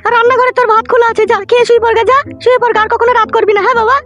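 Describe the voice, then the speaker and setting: A woman's voice: very high-pitched, little pitch movement, racing, music in the background. A woman playing a protective, nagging village mother, curt and bossy, in a comedy cartoon. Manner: indulgent to her son, curt and bossy.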